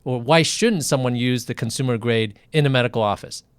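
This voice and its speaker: A man's voice: mid-range voice, fairly quick, clean tone, no room sound, clean studio sound. A man who is a physician, hosting an online interview and chatting candidly, with frequent restarts. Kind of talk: casual interview over video.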